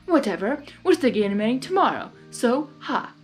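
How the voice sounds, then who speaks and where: A short-tempered trickster monster suitor, acted out by a woman for a storybook. He is demanding and petulant, delivering lines theatrically with lively swings in pitch. Faint music sits behind the voice. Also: normal speed. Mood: defiant, commanding.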